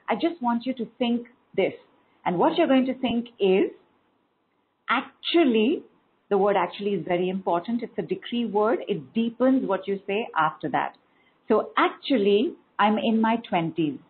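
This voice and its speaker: Tinny-sounding woman coaching with emphasis. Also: mid-range voice. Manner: emphatic, repeats key words in rhythmic lists. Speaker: wellness coach. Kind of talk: motivational teaching talk.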